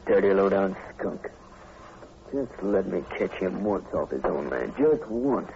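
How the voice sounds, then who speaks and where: Rough, muttering rural American man. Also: sits low, very animated, measured pace, exaggerated voice. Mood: angry. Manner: rough muttering, folksy grammar. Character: coarse, belligerent rural man alone at night. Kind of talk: old-time radio drama acting. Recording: dull old radio recording, heavy noise.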